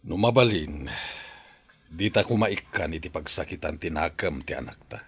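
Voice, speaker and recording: A man with an Ilocano accent playing a resigned, protective husband and father in an audio drama, in a husky voice. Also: a bass voice, animated, moderate pace, heavily acted. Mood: concerned.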